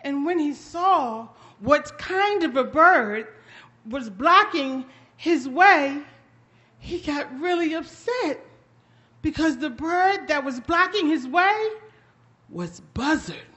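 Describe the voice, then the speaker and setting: A woman storyteller performs for children with lots of energy. Her voice is very high and forceful, and she speaks slowly and emphatically, leaving dramatic pauses. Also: expressive.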